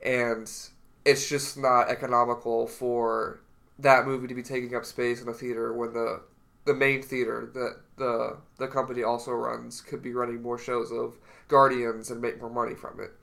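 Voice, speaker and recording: A man's voice: medium pitch, flat delivery, moderate pace, creaky, a bit bright. A young man co-hosting a casual podcast with a stuffy nose from a cold, talking in a loose, rambling way. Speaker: podcast co-host. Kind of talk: casual chat.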